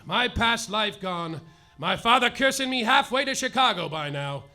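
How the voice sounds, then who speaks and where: A man's voice: high-pitched, vocal fry, pushed and bright, full character voice. An actor playing a hapless, overwrought private detective narrating his misfortunes in a live comedy radio play. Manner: melodramatic noir narration, overwrought. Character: hapless hard-boiled male private detective. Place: theater stage, live.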